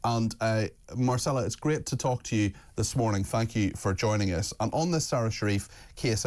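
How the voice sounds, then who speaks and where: A man hosting a talk radio show, brisk and polite as he introduces guests and topics. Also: fairly low pitch, a little mellow. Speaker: host.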